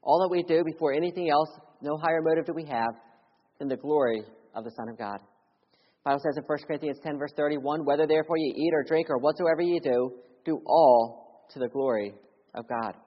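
Earnest preacher in a reverberant church. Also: male voice, fairly quick. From Philadelphia. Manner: earnest, swings between loud urgency and quiet hushed lows. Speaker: missionary preacher. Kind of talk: sermon with personal testimony. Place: church sanctuary. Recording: dull audio with long church reverb.

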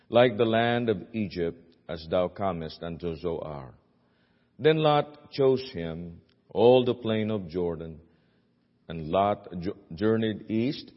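A very low-voiced man with a Filipino accent preaches in a church, earnest and full of "uh"s and restarts. His voice carries a long hall reverb, and the recording sounds dull and muffled. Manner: earnest, with many fillers and restarts. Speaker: guest preacher. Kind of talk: sermon. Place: church sanctuary.

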